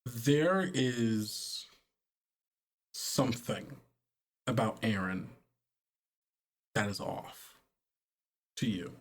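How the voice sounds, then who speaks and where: A man running a tabletop roleplaying game as game master, narrating in a halting, conversational way with pauses. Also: fairly low pitch, lively intonation.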